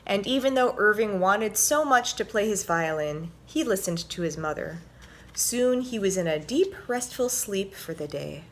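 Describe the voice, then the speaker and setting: A woman presenting and reading a children's story aloud in an expressive, clearly told storytelling manner. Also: medium pitch, moderate pace.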